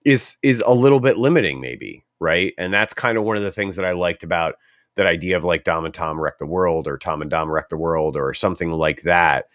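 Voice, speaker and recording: A man's voice: deep voice, a little fast, a bit bright, no echo. A man co-hosting a casual panel discussion, congested from a head cold with a dry throat, thinking aloud with lots of fillers and restarts. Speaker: co-host. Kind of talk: casual panel discussion in a social audio room.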